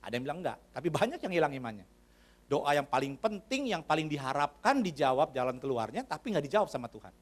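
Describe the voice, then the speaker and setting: A man's voice: on the high side, very animated, fast. A man preaching a sermon in a church. He talks to the congregation in a conversational way, addresses them often and asks them questions. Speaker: pastor.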